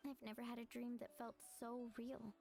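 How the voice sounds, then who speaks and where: A woman playing a gentle, earnest young girl in a video game, soft-hearted in the way she talks. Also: a little high, little pitch movement, normal speed, vocal fry, faint music behind. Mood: wistful. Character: gentle, earnest young girl who cares for animal companion. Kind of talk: video game character acting.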